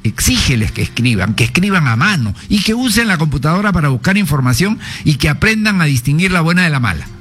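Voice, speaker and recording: An older man, a former education minister speaking as a radio guest, explaining in a measured, professorial way and citing sources. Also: fairly high pitch, big pitch swings, a bit bright, slightly rough, faint music behind.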